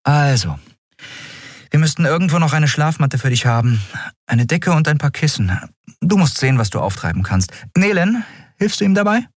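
Man as female airship captain, raised pitch, lively, brisk, kindly, dry audiobook sound. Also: dry recording. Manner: brisk, informal, caring.

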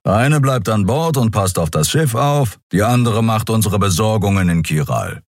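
A man voicing a maned beast-man at the helm, speaking in a low, grumbling, calm way. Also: normal speed, dry recording, clean studio sound. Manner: gruff, grumbling.

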